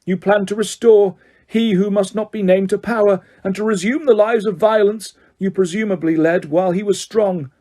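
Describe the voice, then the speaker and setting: A man's voice: high voice, moderate pace, dark tone, voice pushed up. A man reading aloud voices a stern, cold middle-aged male judge who bellows commands.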